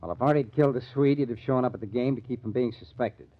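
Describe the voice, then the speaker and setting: An actor playing a probing, skeptical murder investigator in an old-time radio crime drama. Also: male voice, mid-range voice, quick pace, lightly acted. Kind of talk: 1940s radio acting. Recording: tinny, narrow-band old radio recording.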